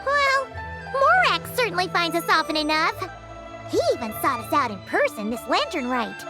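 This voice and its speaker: Chirpy little fairy sidekick, piercingly high squeaky pitch, very animated, money-minded, video game dialogue, loud music behind. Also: female voice, normal speed. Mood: wistful. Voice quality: squeaky, childlike. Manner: bubbly, exclaiming, refers to self by name. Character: small childlike floating fairy companion, chirpy, money-minded.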